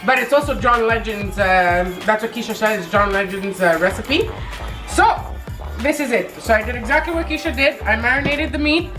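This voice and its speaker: A congested woman in her thirties, a little higher pitched than most, chats her way through a recipe at the stove. Her stuffy voice bounces around an echoey kitchen while music plays underneath. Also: normal speed. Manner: relaxed, chatty step-by-step explaining. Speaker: home cook filming a cooking vlog. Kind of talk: casual cooking narration. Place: home kitchen.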